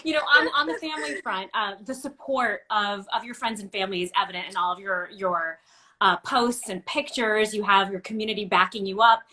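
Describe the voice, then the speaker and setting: A woman broadcaster hosting a casual interview, chatting and telling stories in an animated way. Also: medium pitch, a little fast.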